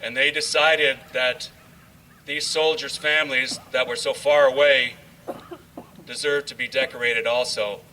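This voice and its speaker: Man, master of ceremonies, public address, unhurried deliberate delivery, distant mic, faint noise. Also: mid-range voice, steady tone, sharp tone. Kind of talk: public address with read-aloud passages.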